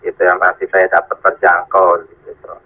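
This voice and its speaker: A man's voice: deep voice, monotone, moderate pace. A man talking casually as an amateur radio operator, heard through a two-way radio. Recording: narrow, tinny radio transmission.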